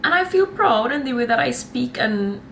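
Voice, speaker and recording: A woman's voice: a little high, very animated, normal speed, gravelly, a bit bright, slight background noise. Sassy Trinidadian woman vlogging. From Trinidad and Tobago. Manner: chatty, sassy, rambling, often says honey and y'all. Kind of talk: casual chat to camera.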